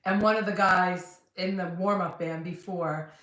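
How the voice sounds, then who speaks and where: A woman's voice: lowish voice, steady tone, a little slow, a bit bright, echoey room, recorded from a distance, rough recording. A woman from New Jersey with a New Jersey accent, talking casually and bluntly as a podcast co-host. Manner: chatty, blunt, rambling. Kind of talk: casual chat.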